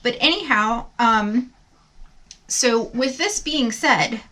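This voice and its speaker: Bright-voiced chatty woman vlogger. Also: on the high side, slow pace. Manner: chatty, rambling. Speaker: beauty vlogger host. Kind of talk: casual chat to viewers.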